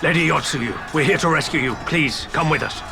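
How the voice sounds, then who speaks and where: An actor playing an imperial military officer in a video game, urgent and forceful. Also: male voice, on the high side, fairly quick, creaky, over music, a crowd in the background. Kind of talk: English video game cutscene acting.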